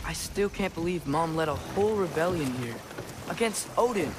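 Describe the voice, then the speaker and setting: A man's voice: fairly high pitch, lively intonation, moderate pace, background noise, natural voice. An actor playing a curious, eager teenage boy in a video game, with a chatty, youthful, inquisitive way of talking. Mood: chatty, questioning.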